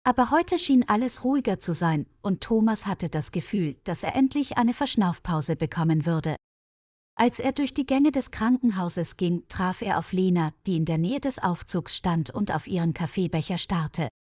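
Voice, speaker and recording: A woman's voice: fairly low pitch, lively intonation, normal speed, darkish tone, no echo. Woman narrating a story, close dry sound. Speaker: audiobook narrator of a German learners' story.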